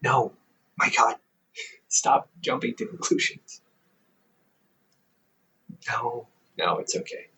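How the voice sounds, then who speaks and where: A man playing a teasing, reassuring older brother, a scholar in his thirties, in an intimate, casual audio roleplay with halting, conversational delivery. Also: mid-range voice, bright, forceful tone. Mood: reassuring, reflective. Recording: hobby microphone with faint background noise.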